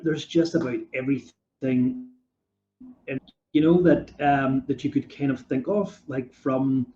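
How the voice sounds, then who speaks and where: A man's voice: mid-range voice, warm tone. A man hosting a podcast interview, talking with enthusiasm and rambling through his questions with many fillers. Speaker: podcast host. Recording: dull roomy sound.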